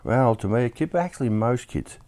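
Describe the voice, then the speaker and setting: A man hosting a casual hobby build tutorial. His voice is slightly croaky after being ill, and he talks in a chatty, easygoing way, thinking aloud as he works. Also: medium pitch, expressive, fairly quick, warm tone. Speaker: hobby shop host building a model kit.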